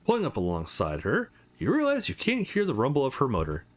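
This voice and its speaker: A man reading a visual novel aloud as a let's play host, adding his own commentary in an animated way. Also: medium pitch, a little fast, faint music behind. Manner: animated, acts out character lines while reading.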